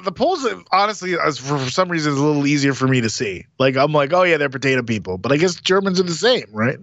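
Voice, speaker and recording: A man's voice: on the high side, expressive, fast talker, a bit bright, no room sound, highs cut off. A man co-hosting a podcast, chatting casually in an easygoing, hesitant way with many fillers. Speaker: podcast co-host. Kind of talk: casual chat.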